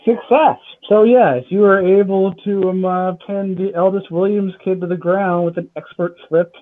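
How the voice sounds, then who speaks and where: A man narrating as game master of a tabletop game, hesitant, often pausing with fillers. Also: high-pitched, steady tone. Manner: hesitant, laughing between lines. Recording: tinny phone-like call audio.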